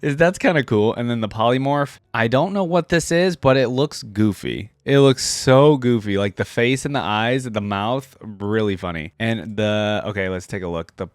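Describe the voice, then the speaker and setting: A man's voice: medium pitch, normal speed, few pauses, no room sound, clean studio sound. A man giving casual, unscripted reaction commentary, thinking aloud in an informal way as he goes. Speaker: reaction video host.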